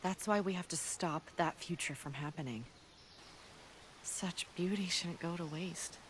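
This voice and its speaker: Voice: mid-range voice, measured pace, lightly acted. Actor doing earnest war-worn fighter from the future, video game, solemn, noisy background. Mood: solemn, wistful. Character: earnest, battle-hardened resistance fighter from apocalyptic future. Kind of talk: video game cutscene acting.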